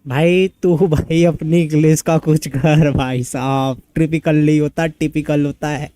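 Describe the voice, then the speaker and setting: Very fast-talking Hindi man streamer, lightly high. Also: fairly even intonation, dark tone, dry recording. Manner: teasing, slangy banter. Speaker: live game streamer. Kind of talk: casual live gaming commentary.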